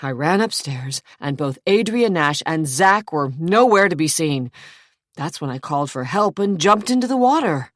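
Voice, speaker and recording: A woman's voice: low-pitched, animated, moderate pace, no room sound, studio quality. A woman reading an audiobook, voicing a frightened man who is lying to the police. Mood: pleading.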